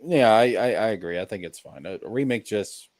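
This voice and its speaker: A man in casual chat, talking haltingly and repeating words for emphasis. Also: fairly low pitch, expressive, a little mellow, no echo, clean studio sound.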